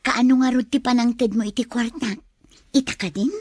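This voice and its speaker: A woman's voice: a little high, steady tone, normal speed, darkish tone, dry recording, close to an everyday voice. An actress playing a young student, a coaxing, secretive girlfriend who is after money, in a radio drama. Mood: wheedling, grateful.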